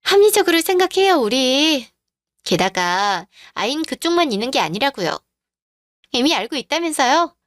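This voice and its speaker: A woman's voice: high-pitched, very animated, normal speed, a bit bright, no echo, studio quality. A woman voicing a brazen, smug mistress who speaks in a sugary, condescending way, in a radio drama.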